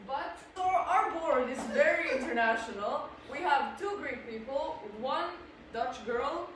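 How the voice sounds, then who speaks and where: A woman's voice: high voice, expressive, unhurried, fairly bright tone. A woman, chatting playfully and casually with friends. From Serbia, grew up in Slovakia. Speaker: student society board member and co-host. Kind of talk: casual, unscripted group chat. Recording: very echoey, distant mic, noisy room.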